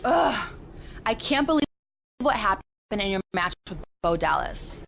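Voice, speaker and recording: A woman's voice: medium pitch, big pitch swings, measured pace, vocal fry, fairly bright tone, exaggerated voice. Casting: a fed-up female wrestling ally for a game story, middle register, light noise behind. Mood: exasperated. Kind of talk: video game cutscene acting.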